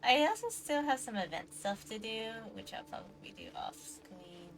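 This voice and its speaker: A woman's voice: fairly high pitch, expressive, moderate pace. A woman livestreamer giving casual commentary as she plays a game, with playful murmured asides. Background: game music.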